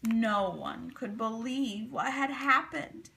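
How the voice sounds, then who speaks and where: A woman reading a children's story aloud in an expressive, deliberate, dramatic way. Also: on the high side, vocal fry, a little hiss or hum. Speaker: storybook reader.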